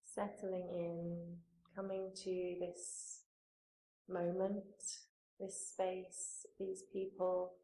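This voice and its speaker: A woman yoga teacher leading a guided meditation in a calm, soothing manner, with pauses between phrases. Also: fairly low pitch. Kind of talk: guided meditation, gentle spoken instruction. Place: indoor room, live training course class. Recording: roomy echo, live class recording.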